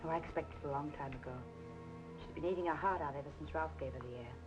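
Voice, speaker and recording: A blunt, world-weary woman, played by an actress in a noir radio drama. The recording is old and dull, with clear music behind her. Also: deep voice, fast talker, natural voice. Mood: resigned.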